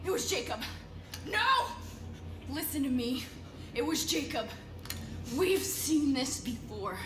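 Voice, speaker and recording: An actress playing a fierce, anguished resistance fighter in a game cutscene, urgent and emphatic. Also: female voice, sits high, very animated, slow pace, echoey room, full character voice. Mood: desperate shouting. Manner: urgent, emphatic, pleading then threatening. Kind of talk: video game cutscene acting.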